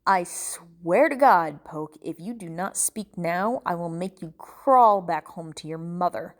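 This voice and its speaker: An exasperated bartender played by a woman narrator leans on a captive in a storybook thriller. He is menacing and commanding, with slow, deliberate phrasing. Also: sing-song. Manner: low, threatening, slow deliberate delivery.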